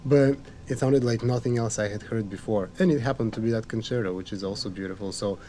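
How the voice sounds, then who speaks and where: A pianist, from Bulgaria, telling a story in a thoughtful, conversational interview. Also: male voice, lowish voice, fast, mellow, a little hiss or hum. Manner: reflective, storytelling. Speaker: pianist guest.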